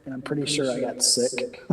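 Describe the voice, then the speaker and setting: A man with a raspy voice from a cold, chatting loosely and laughing during a game raid. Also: mid-range voice, roomy sound, low-quality recording. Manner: chatty, rambling, laughs often. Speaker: raid member on voice chat. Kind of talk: casual gaming voice chat.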